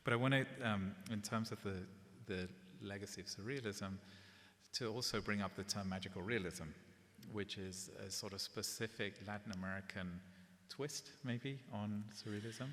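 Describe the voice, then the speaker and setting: A man curator in a public gallery conversation, talking in a relaxed, conversational way, often checking in with "right?". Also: on the low side, moderate pace. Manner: relaxed, conversational, tag questions. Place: gallery event space before an audience. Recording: echoey hall, faint hiss.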